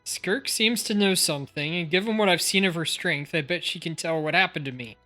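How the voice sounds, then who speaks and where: Live game streamer, bright forceful tone, casual wry asides and read-aloud narration, faint game music behind. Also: mid-range voice, a little fast. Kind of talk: live game commentary and reading game text aloud.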